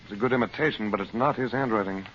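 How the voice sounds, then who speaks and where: An actor playing a steady, practical uncle in an old-time radio drama. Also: male voice, on the low side, racing, natural voice. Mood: practical, reassuring. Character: steady, practical, reassuring uncle, a consulate official. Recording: muffled old radio recording, faint noise.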